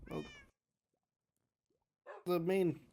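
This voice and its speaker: A player voicing a calm, thoughtful adventurer in improvised game roleplay, explaining things in a measured, slightly hesitant way. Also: slight background noise.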